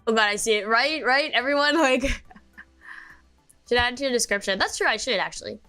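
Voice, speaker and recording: A woman's voice: a little high, expressive, normal speed, pushed and bright, faint music behind. A young American woman streaming, chatting casually and sleepily, rambling with many fillers and restarts. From United States.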